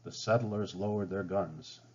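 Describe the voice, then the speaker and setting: Low-voiced male storyteller, slightly husky. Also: measured pace. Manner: dramatic, expressive storytelling. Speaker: children's story reader. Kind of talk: storybook read-aloud narration. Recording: slightly dull, band-limited sound.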